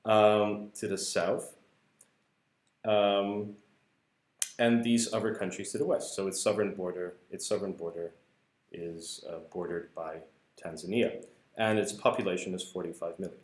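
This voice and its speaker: Deep-voiced guy walking through a paper at a whiteboard, full of ums, echoey room. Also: steady tone, unhurried. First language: not English. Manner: hesitant, many fillers and restarts. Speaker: co-host explaining a research paper. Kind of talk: casual whiteboard explanation.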